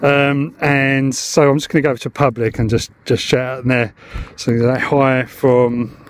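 A middle-aged man with an English accent talks through things in a casual vlog style, at an ordinary mid pitch. He thinks aloud informally, recorded on a handheld camera with clear ambient noise around him. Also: level tone, measured pace. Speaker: vlogger. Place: parked car and open grassy hilltop outdoors.

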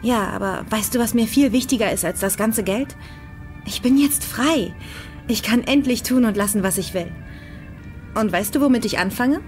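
A woman's voice: on the high side, normal speed, a little mellow, faint music behind, lightly acted. An actress playing a defiant, candid young woman in a crime audio drama. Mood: hopeful. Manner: candid, headstrong.

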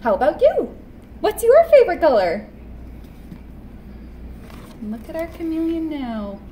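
A woman in her thirties presenting a storytime for young children in an animated, expressive way, recorded indoors a few steps from the camera. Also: very high voice, a little slow. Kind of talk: read-aloud and tips for young children. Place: indoor room with painted mural wall. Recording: roomy echo, noticeable background noise.

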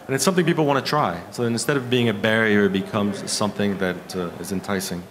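A man in his fifties with a low voice presents at a conference. He speaks haltingly, pausing often between phrases. Clear room noise sits behind him. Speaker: keynote speaker, architect. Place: conference hall stage at a lectern.

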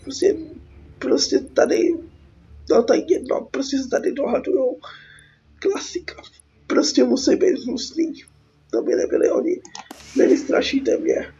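A woman's voice: sits high, creaky, pauses often, warm tone. A young woman with a Czech accent and a cold, casually commenting on a game in a rambling, slangy, sarcastic way as host. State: sick with a cold. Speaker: gaming video host. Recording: dull lo-fi voice track over music. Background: game music.

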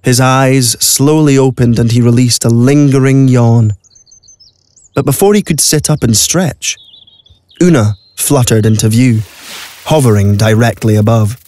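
A man narrates a story from a script. His voice sits at a medium pitch. It is recorded dry in a studio, with no room sound. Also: measured pace, darkish tone, dry recording.

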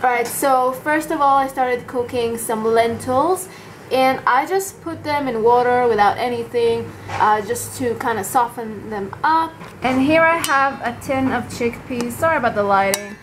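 A woman's voice: a little high, measured pace, slight background noise, poor audio. A woman in her twenties chatting enthusiastically through a casual cooking vlog in a small apartment kitchen, talking to a handheld camera. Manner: bubbly, enthusiastic, tastes food on camera. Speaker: vlogger.

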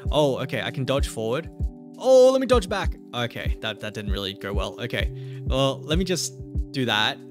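A young man chatting casually as he plays through a mobile game, excitable and quick to laugh, recorded at a home setup on a desk mic. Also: mid-range voice. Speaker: gaming youtuber. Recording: music playing behind voice. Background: game music.

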